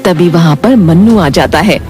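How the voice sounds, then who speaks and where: A woman's voice: on the low side, moderate pace, darkish tone. Female story narrator, music behind. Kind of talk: scripted storybook narration of a moral tale.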